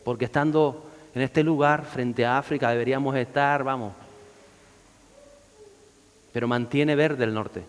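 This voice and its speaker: A man's voice: medium pitch, steady tone, measured pace, long pauses, clear, resonant tone. A man, a pastor, preaching a sermon and lifting his voice on scripture quotes. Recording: long reverb of a hall.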